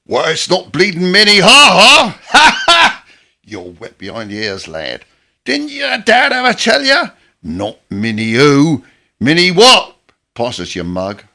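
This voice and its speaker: A working-class old soldier in a radio play, with a swooping, animated delivery and a bright, forceful edge. He is a gruff but chirpy father who talks bluntly and likes to tease. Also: on the high side, measured pace, heavily acted. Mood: teasing, jovial. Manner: blunt, teasing, colloquial.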